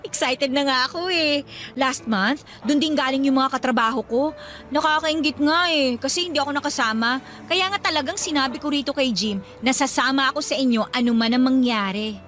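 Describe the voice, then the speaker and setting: A woman's voice: sits high, brisk, fairly bright tone. An actress playing a pushy, eager young woman, chatty and playfully insistent, in a Filipino radio drama. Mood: excited, insistent.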